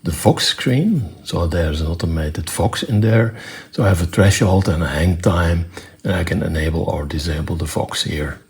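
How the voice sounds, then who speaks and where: A man presenting an unscripted technical demonstration in a matter-of-fact, step-by-step way, with halting phrasing. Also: deep voice. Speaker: presenter demonstrating own electronics project.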